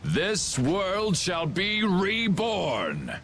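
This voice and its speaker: A man's voice: sits high, wildly swooping pitch, extremely slow, fairly bright tone, soft background music, exaggerated voice. A man voicing a grandiose, cold villain who would rule the world, speaking formally and theatrically in a video game cutscene. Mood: grandiose, fervent.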